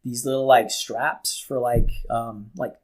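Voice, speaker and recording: A man with a medium-pitched voice tells personal anecdotes as a show co-host. His delivery is informal and rambling, with a little swearing. It sounds like loose, casual conversation. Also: fairly even intonation, unhurried, poor audio. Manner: informal, rambling, mildly profane. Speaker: talk show co-host telling personal stories.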